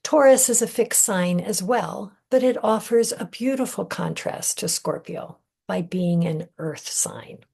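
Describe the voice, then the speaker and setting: A woman leading a guided meditation in a gentle, measured way, breathy and husky, often trailing off into a whisper with deliberate pauses. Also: medium pitch. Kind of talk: guided meditation, soothing scripted narration.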